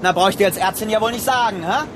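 An actor in a radio drama playing a crude, menacing criminal who talks in a mocking, sarcastic, colloquial way. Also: male voice, high voice, fast talker, noisy background, lightly acted. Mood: taunting, coaxing.

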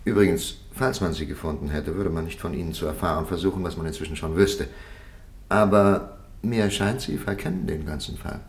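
An actor playing a stern, polished interrogator in a 1950s German radio play, formal, measured and insistent. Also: male voice, deep voice. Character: stern, polished male interrogator pressing a silent prisoner.

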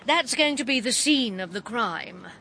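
An actress playing a gossipy, brisk, wry middle-aged woman with an upper-class English accent in a radio drama. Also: a little high, lively intonation, pushed and bright, slight background noise, exaggerated voice. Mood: chatty, enthusiastic. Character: chatty, scatty, good-humoured English lady crime novelist.

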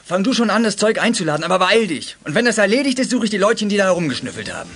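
An actor playing a short-tempered, gruff crime boss in a radio play, scolding and commanding. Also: male voice, high-pitched, sing-song, rapid-fire, fairly bright tone, full character voice. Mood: angry, urgent. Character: bossy, short-tempered male crook, boss of scrap-metal thieves. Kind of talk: 1980s German youth radio play acting. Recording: noisy old cassette radio play.

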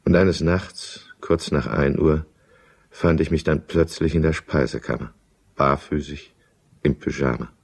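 A deep male voice in a German radio drama gives us a self-pitying businessman who drinks. He narrates and argues in a brooding, irritable way, always defending himself. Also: steady tone, a little slow, gravelly, darkish tone, slightly rough, full character voice. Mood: brooding, confessional. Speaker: lead character and first-person narrator.

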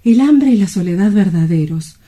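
An actress plays a desperate young woman in a radio drama. Her voice is very mellow and dark in tone. She speaks with fearful, wounded intensity, as someone worn down by hunger and loneliness. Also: medium pitch, lively intonation, moderate pace, natural voice. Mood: bitter, sorrowful. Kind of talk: stage-like radio drama acting.

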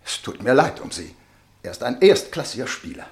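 Lively, swooping gentleman host, acted in echoey room. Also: mid-range voice, fairly quick, lightly acted. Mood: sociable. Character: genial, solicitous gentleman host at cards. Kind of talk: German radio play acting.

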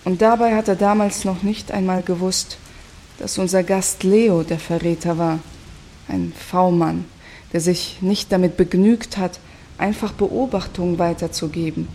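A fairly low female voice with faint rain-like noise behind it, an actress as a suspicious, bitter commune woman in a radio play. She talks in a sardonic, accusing tone. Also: a little mellow, lightly acted.

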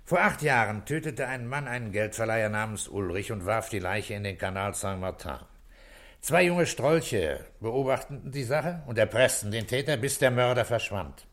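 An actor playing a composed, authoritative police commissioner in a 1960s radio play, speaking deliberately and with command. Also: male voice, medium pitch, animated, a bit bright. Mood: measured accusation.

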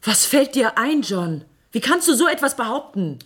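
An actress playing a proud, well-mannered granddaughter, easily indignant, in a German science fiction radio drama. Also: female voice, fairly high pitch, sing-song, moderate pace, breathy edge.

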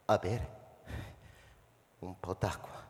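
A man's voice: low voice, steady tone, extremely slow, a little hiss or hum. A man playing a frightened, paranoid spaceship captain in a theatrical radio drama, swinging between murmurs and agitated outbursts. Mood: fearful. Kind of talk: theatrical radio drama monologue with live music. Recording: reverberant hall, music over the voice.

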